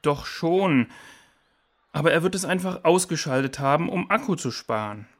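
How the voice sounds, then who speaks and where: Cautious station worker, fairly high male voice, firm, reasoning, children's audio play. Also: animated, normal speed. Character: cautious, dutiful man working at jungle station.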